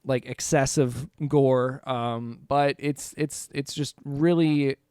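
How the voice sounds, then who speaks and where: A man with a smooth, clear voice at an average pitch hosts a casual podcast chat. The sound is dry and close, and his way of talking is loose and informal. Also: steady tone, slow pace, a little mellow, no echo. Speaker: podcast host.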